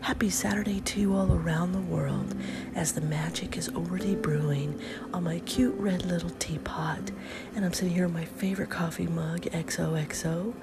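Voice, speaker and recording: A middle-aged woman hosting a podcast in a casual, upbeat morning chat, often half-whispering, with a stuffy nose from a head cold. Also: fairly low pitch. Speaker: podcast host.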